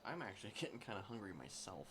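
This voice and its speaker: A man's voice: on the low side, very animated, rapid-fire, background noise. A man giving casual, musing commentary on a game livestream, sometimes hesitant and trailing off. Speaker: livestreamer playing a roleplay game.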